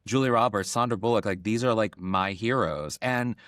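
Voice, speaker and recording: A man's voice: fairly low pitch, moderate pace, fairly bright tone, no room sound, clean studio sound. A man interviewing guests in a casual, chatty and enthusiastic way. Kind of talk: casual chat.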